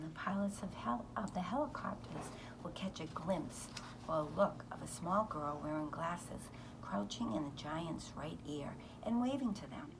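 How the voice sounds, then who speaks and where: Woman reading a children's story, noisy recording. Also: lowish voice. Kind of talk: expressive read-aloud of a children's novel.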